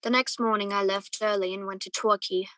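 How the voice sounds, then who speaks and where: A woman acting a conflicted young gentleman in love, who narrates his own story in a radio play. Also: medium pitch, level tone, fairly quick, over loud music, close to an everyday voice. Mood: wistful narration. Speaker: student or guest actor in a radio play.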